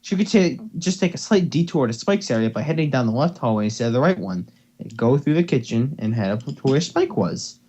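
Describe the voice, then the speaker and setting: Young man, amateur story reader, lively swooping intonation, playful, stumbles over words, casual asides. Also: mid-range voice, fairly quick, husky. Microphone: headset or desktop mic on voice call.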